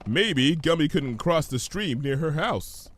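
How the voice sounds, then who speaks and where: A man reading a story aloud as an expressive narrator. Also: a little high, unhurried. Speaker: story reader. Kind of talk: expressive scripted narration of fan fiction.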